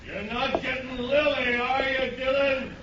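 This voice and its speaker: An extremely high-pitched male voice plays a cocky frontier outlaw, jeering from a distance. The vintage radio drama recording is muffled and clearly noisy. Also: fairly even intonation, measured pace. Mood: taunting. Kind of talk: 1950s radio western acting.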